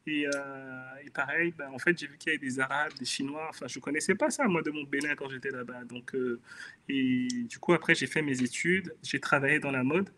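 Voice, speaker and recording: A man's voice: mid-range voice, fairly quick, pushed and bright, slight background noise. A man from Benin with a Beninese accent, telling his own life story in an earnest, reflective way as a guest in a casual interview. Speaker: guest, author.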